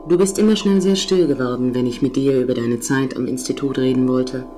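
Woman voicing a measured female ship computer, music behind. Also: very deep voice, warm tone. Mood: composed, attentive. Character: attentive female ship computer companion, composed and devoted. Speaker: on-board computer character. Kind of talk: scripted audio drama dialogue. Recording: music under the voice.

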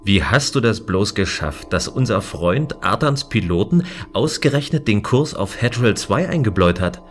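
A man as a low-voiced, earnest space guardian. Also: very animated, moderate pace, soft background music. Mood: musing. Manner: considerate, earnest, explaining. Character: gentle, dutiful male space station guardian. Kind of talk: science fiction audio drama acting.

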